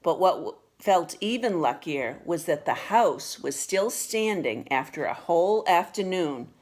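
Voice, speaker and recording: Unhurried woman reading a children's storybook. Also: lowish voice. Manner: expressive, deliberate storytelling delivery. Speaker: storybook reader. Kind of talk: read-aloud children's picture book narration.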